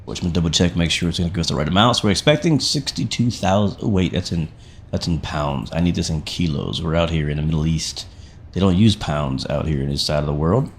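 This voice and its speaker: Low-pitched, slightly raspy man streaming. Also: expressive, fast talker, a little hiss or hum. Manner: chummy, slangy, thinking aloud. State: getting over a sickness, voice not fully back. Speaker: flight sim livestream host. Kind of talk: casual stream commentary.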